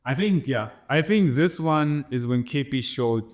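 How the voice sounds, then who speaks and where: A man's voice: mid-range voice, expressive, measured pace. Man with a slight German accent. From Germany and Namibia. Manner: rambling, many restarts and "right?" tags. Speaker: DJ guest. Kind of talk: casual interview storytelling.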